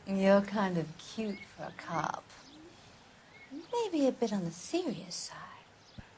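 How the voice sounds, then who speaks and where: An actress with an American accent playing a haughty, flirtatious 1940s B-movie actress, sassy and cutting, in a period video game. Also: female voice, medium pitch, sing-song, slow, darkish tone, slight background noise. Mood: coy, haughty.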